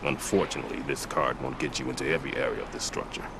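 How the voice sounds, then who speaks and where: Game casting: methodical middle-aged bomb expert, low and authoritative, noisy ambient backdrop. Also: male voice, fairly even intonation, creaky. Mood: instructive. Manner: measured, formal, expository. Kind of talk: video game cutscene voice acting.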